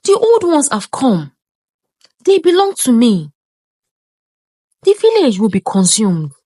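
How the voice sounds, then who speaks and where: A woman voicing an ominous ancient spirit, high-pitched. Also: huge pitch swings, slow pace, dark tone, husky, no echo, clean studio sound, exaggerated voice. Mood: ominous, commanding. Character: ancient menacing spirit speaking through a possessed young woman.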